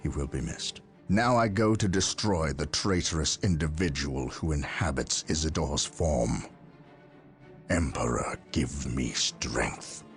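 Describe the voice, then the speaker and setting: An actor playing a resolute veteran space marine captain in a video game, solemn, stern and commanding. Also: male voice, very deep voice, a little slow, faint music behind. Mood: solemn, mourning. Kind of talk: dramatic video game monologue.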